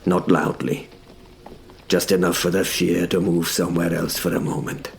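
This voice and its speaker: A man narrating a first-person drama in a hushed, deliberate way, like scripted storytelling. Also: deep voice, completely flat delivery, vocal fry, a little hiss or hum.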